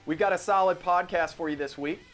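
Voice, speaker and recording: A man's voice: sits high, brisk, fairly bright tone, faint music behind. Middle-aged American man, casual podcast host. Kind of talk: casual chat about firearms.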